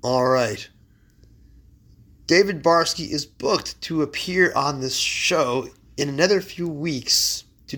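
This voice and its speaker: A man hosting a podcast, slightly hoarse after an illness, chatting casually and reading fortune cards aloud with wry mock drama. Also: medium pitch, a bit bright. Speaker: podcast host. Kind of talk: casual chat. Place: home library.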